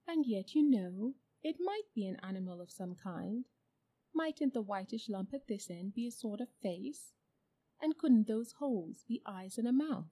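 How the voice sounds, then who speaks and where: A woman voicing a dignified, thoughtful she-elephant in a storybook, measured, genteel and a little pompous. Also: a little high, expressive, warm tone. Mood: musing. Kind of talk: storybook character voice.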